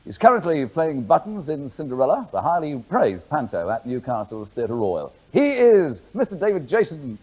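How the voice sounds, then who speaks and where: A man, a radio presenter, introducing a guest and asking questions in a brisk, lively manner. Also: fairly high pitch, husky. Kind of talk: broadcast interview.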